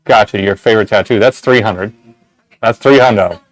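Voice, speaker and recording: A man's voice: on the low side, moderate pace, no room sound. A young man with a nasal voice, hosting a casual shop livestream and rambling through giveaway details with a lot of "right?".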